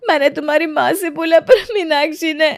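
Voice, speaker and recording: An extremely high, sobbing voice of a young wife in a cartoon story. She whines and plays the victim, close and dry on the recording. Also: a little fast, gravelly, no room sound. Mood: tearful, wounded. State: crying. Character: tearful, manipulative young wife complaining to her husband.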